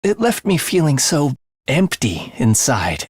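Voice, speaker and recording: Wildly expressive, morbid young assassin. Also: male voice, on the high side, a little slow, breathy edge, no room sound, clean studio sound, exaggerated voice. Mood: bitter, morbid. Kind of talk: English video game voice acting.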